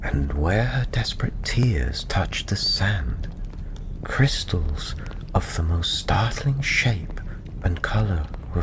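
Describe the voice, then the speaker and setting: A man softly telling a fantasy story in ASMR style, breathy and often near a whisper, gentle and unhurried with long pauses. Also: low voice, noisy background.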